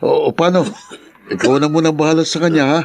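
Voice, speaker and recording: Radio drama supporting role: Filipino male, somewhat high pitch, brisk, put-upon helper. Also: vocal fry, darkish tone. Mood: exasperated, hurried.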